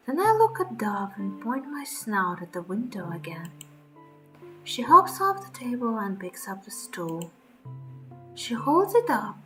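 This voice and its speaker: A woman reading a story aloud in a dramatic, expressive and deliberate first-person narration. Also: mid-range voice, low-quality recording. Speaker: streamer reading a visual novel aloud.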